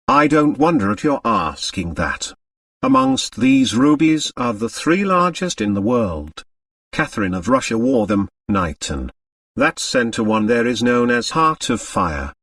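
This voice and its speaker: A man's voice: mid-range voice, sing-song, measured pace, fairly bright tone, dry recording, studio quality, distant mic, heavily acted. A man voicing a hard, proud American millionaire who dotes on his daughter, in an audiobook. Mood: amused, proud.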